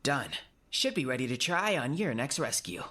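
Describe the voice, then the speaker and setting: A man voicing a clever, self-assured inventor in an audiobook, talking in a brisk, boastful, explanatory way. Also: medium pitch, big pitch swings, sharp tone, a little hiss or hum, pitched above the performer's own voice. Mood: matter-of-fact.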